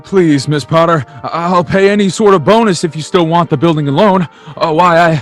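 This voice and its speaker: Agitated husband at the end of his rope, male actor, emotional radio-play delivery, slightly high pitch. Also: a little fast, faint music behind, lightly acted. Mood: apologetic, pleading. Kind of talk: old-time radio play acting.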